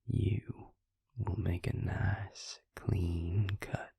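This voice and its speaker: Male actor as a smug vampire, low breathy whisper, slow taunting delivery, self-satisfied, intimate audio roleplay. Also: fairly even intonation, dark tone, no echo, studio quality, heavily acted. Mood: smug, menacing. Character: devious, smug male vampire entrancing a victim.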